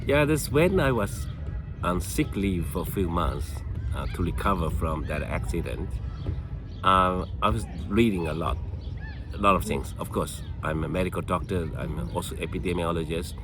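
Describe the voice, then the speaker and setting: Measured older man. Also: low voice, animated, over music. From China. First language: Chinese. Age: 60s. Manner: measured, halting, with frequent restarts. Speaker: guest, medical doctor. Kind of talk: reflective podcast conversation.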